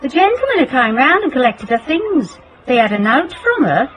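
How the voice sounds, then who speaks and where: A woman's voice: sits high, very animated, normal speed, a bit bright, a little hiss or hum, low-quality recording. An actress playing a wary, defensive landlady in a radio drama, indignant about her respectability. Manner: suspicious, indignant, guarded.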